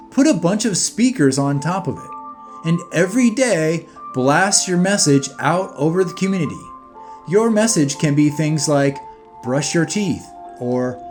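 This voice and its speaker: Higher-voiced man, blunt opinion narration. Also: a little mellow. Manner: blunt, emphatic, scornful. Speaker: video narrator.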